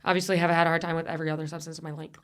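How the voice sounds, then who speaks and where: A woman's voice: deep voice, flat delivery, very fast, fairly bright tone, a little hiss or hum. A woman from Buffalo hosting a comedy podcast in a studio. She chats casually, swears often and jokes around, and her voice is a little worn from vaping. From Buffalo, New York. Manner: crude, jokey, rambling. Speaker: podcast host.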